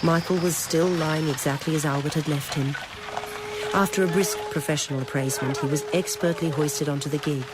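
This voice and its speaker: A narrator reading a novel aloud in a measured, literary storytelling manner. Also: medium pitch, fairly even intonation, heavy background noise. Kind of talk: scripted audiobook-style narration of a novel.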